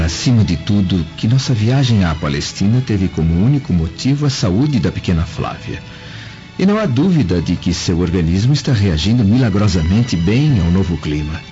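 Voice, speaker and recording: A man playing a dignified, protective Roman senator, measured and reassuring, in a radio drama. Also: fairly low pitch, dark tone. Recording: muffled lo-fi, faint music.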